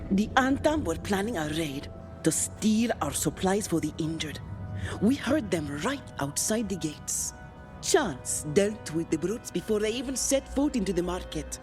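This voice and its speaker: A woman's voice: mid-range voice, expressive, a little slow, natural voice. A woman acting as an earnest, proud market official in a fantasy video game. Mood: firm, grateful. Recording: music behind the voice.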